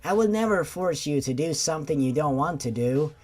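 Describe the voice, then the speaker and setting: A man voicing a gentle, devoted young boyfriend in a love story, speaking softly and reassuringly. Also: fairly high pitch, lively intonation, fairly quick. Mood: tender, reassuring. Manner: soft, reassuring.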